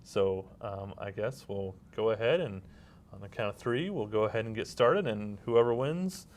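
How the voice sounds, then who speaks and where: A man co-hosting a comedy podcast in a casual, halting, informal way as he introduces segments. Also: on the low side, darkish tone. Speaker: podcast co-host.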